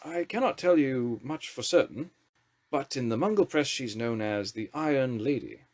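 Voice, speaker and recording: A man's voice: mid-range voice, lively intonation, a little mellow, pitched above the performer's own voice, lightly acted. A man doing a patient old headmaster wizard. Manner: patient, dry, measured.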